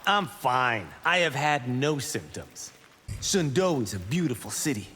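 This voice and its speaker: A man's voice: mid-range voice, animated, unhurried, bright, forceful tone, breathy edge, full character voice. An actor playing a confident, touchy young male fighter in a video game, speaking boastfully and directly. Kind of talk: video game character dialogue. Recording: long hall reverb, faint noise.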